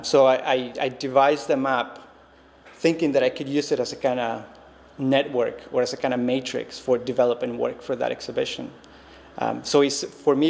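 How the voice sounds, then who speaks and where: A man's voice: medium pitch, gravelly, a little mellow. A man in his thirties from Costa Rica, a native Spanish speaker, giving an artist talk with a reflective, hesitant manner full of ums and restarts, recorded in a reverberant gallery space. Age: 30s. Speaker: visiting artist. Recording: long-reverb hall, faint noise.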